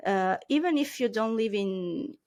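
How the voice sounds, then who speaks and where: A woman's voice: mid-range voice, animated, unhurried, darkish tone. Italian woman, hesitant casual talk. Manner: hesitant, many fillers, laughs often. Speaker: marketing consultant, guest. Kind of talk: casual interview chat.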